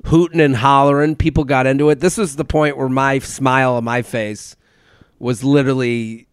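Smooth-voiced man, dry studio sound. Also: mid-range voice, little pitch movement, normal speed, no room sound. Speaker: podcast co-host. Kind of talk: reading a script with casual asides.

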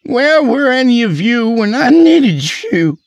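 Guy doing a bitter drunk lawyer in an audiobook, slow n creaky, pitch all over the place. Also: very animated, no room sound, lo-fi sound. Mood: furious. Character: drunk, bitter, volatile middle-aged man, a failing lawyer.

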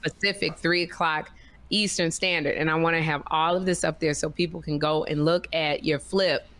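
A woman's voice: low-pitched, steady tone, normal speed, a bit bright. A woman hosting a podcast who talks effusively, often repeats her words and gives her guests instructions. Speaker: podcast host. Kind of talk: casual podcast chat.

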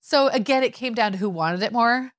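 Chatty mom telling stories, dry mic. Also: female voice, fairly high pitch, a little fast, dry recording. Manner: chatty, animated storytelling with fillers. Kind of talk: casual conversation.

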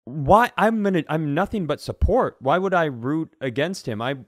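A man's voice: a little high, expressive, moderate pace, no echo, clean studio sound. A man co-hosting a podcast with casual comedic banter, rambling and repeating himself for emphasis. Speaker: podcast co-host.

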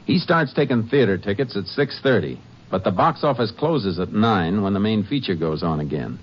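A calm Texas Ranger. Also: male voice, on the low side, moderate pace. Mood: steady. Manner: steady, clipped, authoritative questioning. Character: calm methodical Texas Ranger detective, male. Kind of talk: 1950s radio drama acting and narration. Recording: muffled old radio broadcast with faint hiss.

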